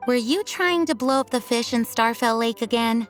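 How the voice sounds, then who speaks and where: A woman's voice: on the high side, faint music behind, natural voice. Stern, caring knight commander, played by a woman. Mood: stern, suspicious. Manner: formal, measured, authoritative. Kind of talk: video game voice acting.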